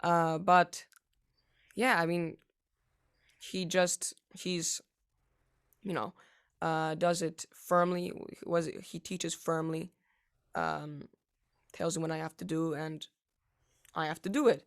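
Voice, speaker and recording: Teenage boy, gravelly, halting interviewee full of fillers. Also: on the high side, level tone, fairly bright tone. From United States. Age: teens. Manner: halting, many fillers and restarts. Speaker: young pianist interviewee. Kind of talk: casual interview answers.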